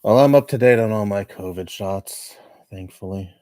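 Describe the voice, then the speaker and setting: A man in his twenties chatting casually on a livestream at home, rambling and laughing softly between thoughts. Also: lowish voice, normal speed, no room sound, clean studio sound. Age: 20s. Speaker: livestreamer reading chat. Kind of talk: casual chat.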